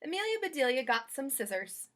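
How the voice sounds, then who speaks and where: A woman's voice: sits high, sing-song, fairly quick, a bit bright. A woman reading a children's story aloud expressively, speaking directly to young listeners. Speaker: storybook reader.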